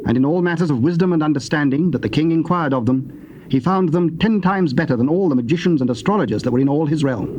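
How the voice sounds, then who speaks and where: A man narrating scripture in archaic English, formal, measured and solemn. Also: a little high, darkish tone, dull audio. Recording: noisy old cassette.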